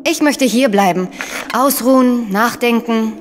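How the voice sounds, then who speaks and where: Female actor as level-headed journalist sidekick for crime audio drama; assured, direct, slightly high register. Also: a little slow, natural voice. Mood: cautioning. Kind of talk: radio play dialogue.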